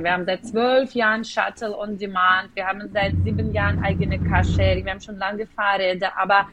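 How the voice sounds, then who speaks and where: A woman's voice: mid-range voice, noisy background. Woman with a Ukrainian accent. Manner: explains at length in slightly non-native German with hesitations. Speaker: guest, company executive. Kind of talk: podcast interview.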